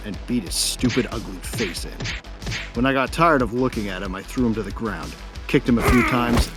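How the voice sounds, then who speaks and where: A man's voice: on the low side, close to an everyday voice. A man playing a cocky, wry bounty hunter who narrates his own story in an audio drama. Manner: wry, self-satisfied. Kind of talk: first-person dramatic narration.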